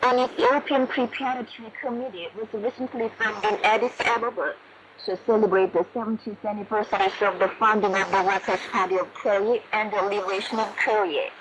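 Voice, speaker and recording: A woman radio announcer reading a formal, solemn news bulletin in a measured way, heard over shortwave radio. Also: mid-range voice, fairly even intonation. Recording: noisy shortwave radio reception with static. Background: shortwave static and noise.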